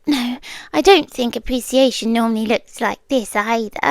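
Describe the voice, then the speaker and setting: A British woman voicing an obsessive romantic kidnapper for ASMR roleplay, speaking softly and often whispering into a close microphone. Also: a little high, normal speed, no room sound, natural voice. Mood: calm obsessive. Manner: soft-spoken, often slipping into whispers.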